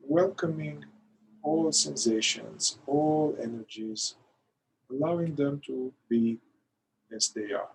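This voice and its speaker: A man leading a guided meditation in a calm, gentle manner, pausing between short phrases. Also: mid-range voice, creaky, a little hiss or hum, rough recording. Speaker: meditation guide, somatic therapist.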